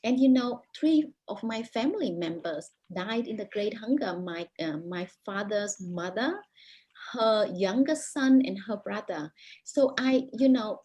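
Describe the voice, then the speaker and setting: A woman with a Vietnamese accent, a native Vietnamese speaker, answering interview questions as an author over a video call, thoughtful with many pauses and restarts. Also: mid-range voice, animated, darkish tone, rough recording. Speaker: author, guest.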